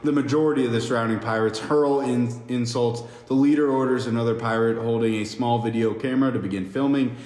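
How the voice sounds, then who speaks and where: A man with a medium-pitched voice reads a screenplay's stage directions at an amateur table read. He is halting and jokey, stumbling over words. The room is echoey with long reverb and a faint noise underneath. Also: steady tone, darkish tone. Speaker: podcast host reading stage directions.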